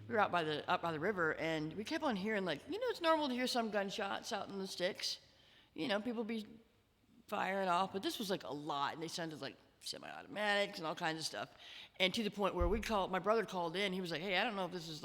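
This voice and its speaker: A woman's voice: on the low side, animated, fast, fairly bright tone. A woman from Missouri, a musician being interviewed, telling a story casually with lots of fillers and restarts. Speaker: musician guest. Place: reception hall. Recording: reverberant hall.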